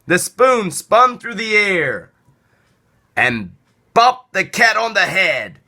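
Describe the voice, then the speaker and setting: A man narrates a children's picture book with a bright, lively voice. He reads slowly and deliberately, with theatrical swings of emphasis for young listeners. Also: high voice. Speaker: storybook reader. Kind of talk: expressive children's read-aloud narration.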